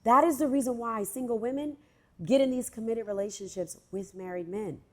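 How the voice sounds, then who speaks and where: A woman's voice: fairly high pitch, brisk. Emphatic nasal-voiced woman. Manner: emphatic and animated, acts out quotes. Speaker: podcast co-host. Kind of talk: candid casual chat.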